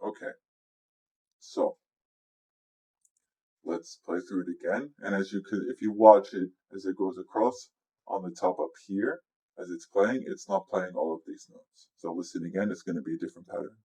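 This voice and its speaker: A man with a low-pitched voice gives a casual software walkthrough. He speaks as a music producer explaining his process, informal and instructive. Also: low-quality recording. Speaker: music producer giving a tutorial. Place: indoors, voice-over on a screen recording.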